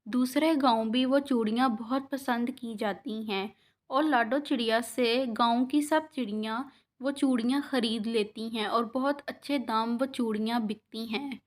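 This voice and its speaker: A woman telling a children's cartoon story in a steady narrating voice. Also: measured pace, soft background music. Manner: steady, lower-pitched narrating voice.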